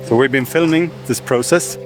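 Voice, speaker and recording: A man's voice: medium pitch, moderate pace. Man vlogging over music. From Sweden. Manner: enthusiastic, reflective. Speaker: filmmaker. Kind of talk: vlog narration. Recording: music playing underneath.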